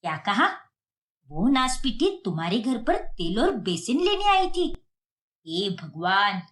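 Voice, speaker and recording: Woman voicing a bossy, scolding mother-in-law, lower-pitched. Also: big pitch swings, a little slow, a bit bright. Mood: indignant, scolding. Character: stern, scolding middle-aged Indian mother-in-law. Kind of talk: Hindi moral-story cartoon voice acting.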